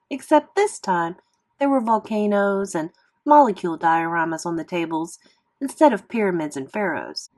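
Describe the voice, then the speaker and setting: A woman reads a children's novel aloud in expressive classroom read-aloud narration, like a teacher with a class. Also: on the low side.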